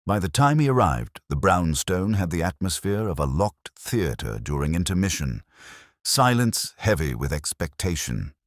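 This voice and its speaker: Very deep-voiced man narrating detective fiction. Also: big pitch swings, normal speed, no room sound, clean studio sound. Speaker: audiobook narrator telling a detective story in first person. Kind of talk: scripted narration, hard-boiled detective storytelling.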